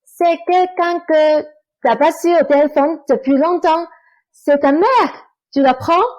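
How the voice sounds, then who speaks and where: A woman's voice: sits high, slow, darkish tone, muffled recording. A woman playing a lazy, teasing young stepsister, falsely sweet and bullying, in a student radio play. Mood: mocking. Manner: teasing, offhand.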